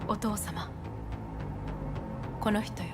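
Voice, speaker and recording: Casting: woman playing guarded heiress in a game drama, mid-pitched, formal and restrained, clear music underneath. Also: fairly even intonation, unhurried, close to an everyday voice. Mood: solemn. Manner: formal, precise, restrained, avoids contractions. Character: composed, guarded, dutiful female corporate heiress. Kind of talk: dramatic video game dialogue. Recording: music behind the voice.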